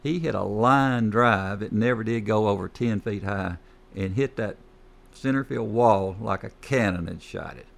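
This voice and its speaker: Older grandfather host, low voice, slow reminiscing talk. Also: creaky. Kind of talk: casual chat.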